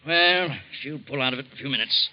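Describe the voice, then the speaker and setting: Brief: a man plays a chiding jovial doctor, mid pitch, dull vintage radio sound. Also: fast talker, natural voice. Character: brisk jovial male family doctor. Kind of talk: 1940s radio acting. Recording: dull old radio recording.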